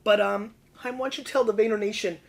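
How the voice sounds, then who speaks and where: Energetic American man hosting a chat show. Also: high voice, vocal fry. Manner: energetic, quick interjections and banter. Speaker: host. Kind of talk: casual wine show interview.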